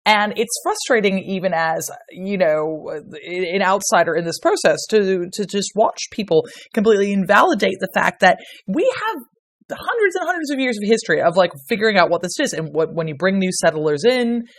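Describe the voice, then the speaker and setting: A woman's voice: medium pitch, animated, normal speed. A woman with an Irish accent, a guest on a podcast, talking casually in a sardonic, sweary way and laughing often. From Ireland. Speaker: podcast guest, medieval historian.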